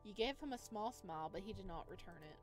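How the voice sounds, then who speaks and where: A woman reading a visual novel aloud in an expressive way, adding casual asides as a streamer. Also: mid-range voice, quick pace, faint music behind. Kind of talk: lively read-aloud of a game with casual commentary.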